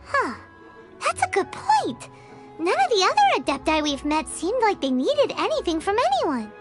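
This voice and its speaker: A woman's voice: huge pitch swings, normal speed, full character voice. A woman voicing a tiny, curious fairy companion in a video game, who talks about herself in the third person. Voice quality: high, bright and childlike. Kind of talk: game dialogue. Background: game music.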